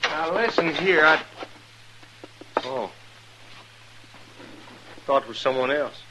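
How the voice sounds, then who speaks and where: A man's voice: a little high, expressive, slow pace. A man playing a guarded, shady westerner in a radio drama, curt and evasive. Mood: wary. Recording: noisy old radio recording.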